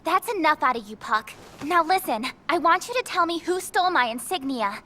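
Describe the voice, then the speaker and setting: A woman's voice: high voice, expressive, brisk, pushed and bright. A woman playing a proud young magical heroine in an anime dub, with a prim, formal manner and feigned indifference. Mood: confident, commanding. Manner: prim, haughty, formal phrasing. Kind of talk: English anime dub acting. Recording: music behind the voice.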